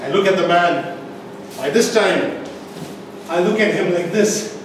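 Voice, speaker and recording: A man telling a comic tall tale as a speech to a live audience, with theatrical pauses and an emphatic build-up. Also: high voice. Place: indoor meeting room, recorded from the audience. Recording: distant microphone, echoey room, background noise.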